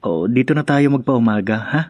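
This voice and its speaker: A man's voice: medium pitch, normal speed, a little mellow, no room sound, studio quality, lightly acted. A man with a Filipino accent playing an appeasing young husband and dutiful son in a radio drama, speaking in a hesitant, coaxing way.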